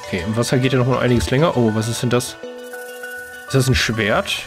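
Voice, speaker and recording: A man's voice: medium pitch, fairly even intonation, fairly quick, over music. A man giving casual commentary as the host of a let's play.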